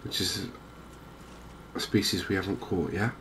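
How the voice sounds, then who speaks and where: A soft-spoken man with an English accent giving unhurried, hesitant ASMR commentary over a video game. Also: sits low, slight background noise. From England. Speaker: gaming video host.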